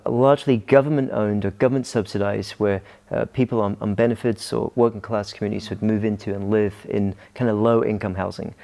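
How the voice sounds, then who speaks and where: A Scottish pastor in middle age, talking as an interview guest. His voice is dark and gravelly, and he often hesitates and restarts his sentences. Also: fairly low pitch, fairly even intonation, brisk, hardly any pauses. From Scotland. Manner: hesitant, many ums and restarts. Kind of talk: casual interview talk.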